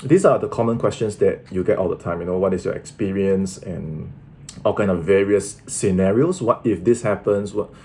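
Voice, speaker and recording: A 33-year-old man, a sales closer, answering in clipped replies and then calmly telling viewers what happened. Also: sits low, normal speed, a little hiss or hum. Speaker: sales closer and channel host. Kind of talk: casual call, then reflective narration.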